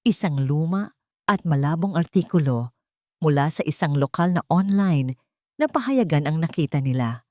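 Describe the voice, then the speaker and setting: A woman's voice: sits low, expressive, normal speed, mellow, no room sound, clean studio sound. A woman with a Filipino accent reading a scripted romance story as a dramatic storyteller.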